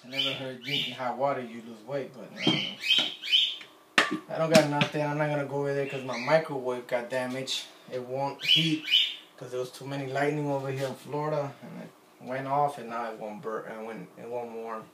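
A man's voice: mid-range voice, flat delivery, brisk, heavy background noise. A man in his thirties with a Puerto Rican accent, chatting in a rambling, self-deprecating way while making a drink in his kitchen, filmed on a phone camera on the counter. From Puerto Rico. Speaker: vlogger. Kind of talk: casual chat while cooking. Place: home kitchen, indoors. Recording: echoey kitchen with faint ambience.

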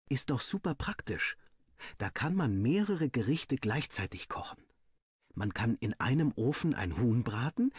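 A man reading a first-person story like an audiobook, expressive and playful with boyish indignation. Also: lowish voice, slightly rough, no echo, clean studio sound. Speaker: story narrator. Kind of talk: animated first-person scripted narration, like an audiobook.

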